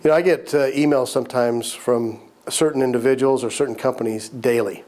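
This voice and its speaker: A man teaching a business course, talking conversationally while getting over a cold, his voice a little raspy, often clearing his throat and repeating key points. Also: mid-range voice, fairly even intonation, a little fast, darkish tone. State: recovering from a cold, slightly raspy. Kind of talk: recorded lecture to entrepreneurs.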